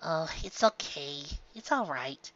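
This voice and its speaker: Gentle storybook pony mom read by a narrator, flustered and hesitant, bright tone, slightly muffled lo-fi recording. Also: medium pitch, lively intonation, slow. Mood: soothing. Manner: hesitant, stammering, repeating words. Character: gentle, flustered pegasus mother, easily confused.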